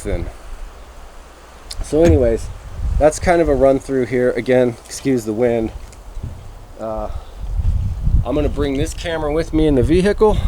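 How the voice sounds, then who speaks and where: A man with a soft, mellow voice at a middling pitch talks through a hobby test. He is folksy and self-deprecating, speaking off the cuff as a vlogger, with wind and vehicle noise around him. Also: low-quality recording. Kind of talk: casual unscripted vlog narration. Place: outdoors and around a vehicle, handheld or mounted camera.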